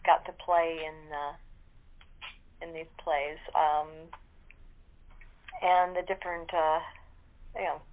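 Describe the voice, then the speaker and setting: Female radio-play actor in casual conversation, lower register, hesitant, faintly noisy telephone sound. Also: fairly even intonation, slow pace, pauses often. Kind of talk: casual group chat. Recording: tinny phone line, faint noise.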